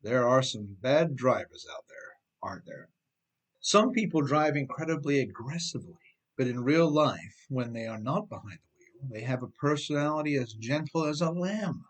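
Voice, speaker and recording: A man's voice: mid-range voice, expressive, normal speed, rough recording. A middle-aged man with an American accent reads his own audiobook in an emphatic, conversational way, often addressing the listener directly. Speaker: author reading own audiobook. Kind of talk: scripted audiobook narration with conversational asides.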